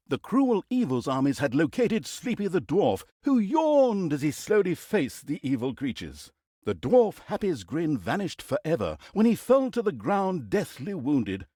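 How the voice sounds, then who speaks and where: A man's voice: a little high, darkish tone, dry recording, clean studio sound, exaggerated voice. A male actor playing a wry, theatrical storybook narrator in a fantasy video game, with a sardonic, mock-grand delivery. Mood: mock-grand, gloating.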